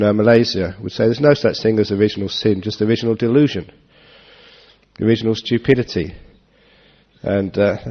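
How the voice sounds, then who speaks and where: A man giving a relaxed Dharma talk to an audience, joking and chuckling as he answers questions. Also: lowish voice, gravelly. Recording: dull lo-fi talk recording.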